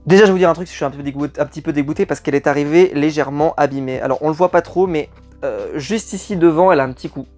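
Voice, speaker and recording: A man's voice: a little high, racing, a little mellow, faint music behind. A man chatting to camera as a collectibles vlog host, enthusiastic and rambling, with many hesitant fillers. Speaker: YouTube collector channel host. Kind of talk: casual unboxing chat to camera.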